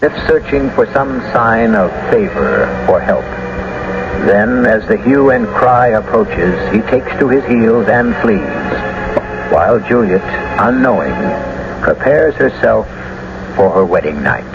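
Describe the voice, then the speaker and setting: Male narrator, radio theatre host: scripted dramatic read, fairly deep, noisy vintage broadcast sound. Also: animated, measured pace. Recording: muffled, noisy old radio broadcast recording.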